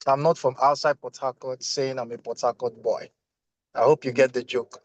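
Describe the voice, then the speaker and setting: A man from Lagos, a management consultant, speaking on a video call from his car in a measured, deliberate way. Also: medium pitch, fairly even intonation, lo-fi sound. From Lagos, Nigeria. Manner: measured and deliberate, with a light joking touch. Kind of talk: panel discussion on a video call. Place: inside a car while driving.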